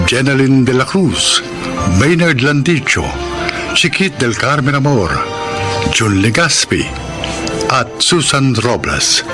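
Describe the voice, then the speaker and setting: A man with a Filipino accent announcing a radio drama in a formal, scripted style over a music bed. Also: medium pitch.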